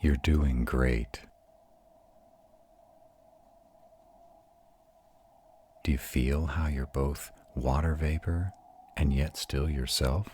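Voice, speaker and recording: A man as a very deep, slow vampire lover. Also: a little mellow, a little hiss or hum. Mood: calm, encouraging. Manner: slow, soothing, reassuring. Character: arrogant male vampire, tender and protective mentor to his mate. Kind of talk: intimate roleplay audio drama.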